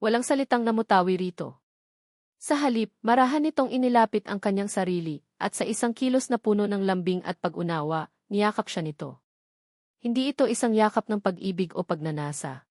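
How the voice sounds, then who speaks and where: A woman's voice: mid-range voice, a little fast, darkish tone, no room sound, studio quality. A woman reading a scripted love story as a dramatic narrator.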